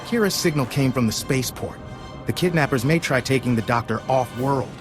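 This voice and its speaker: A man's voice: mid-range voice, brisk, lightly acted. Man playing a grave, commanding general. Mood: urgent. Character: stern, urgent military general giving briefings. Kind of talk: video game voice acting. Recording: music playing behind.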